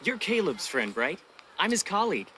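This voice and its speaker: A man's voice: sits high, big pitch swings, moderate pace, pushed and bright, slight background noise, heavily acted. An actor playing a friendly, talkative young male pilot colleague, slightly awkward and eager, in a video game. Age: young adult. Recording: game audio with music behind. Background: music.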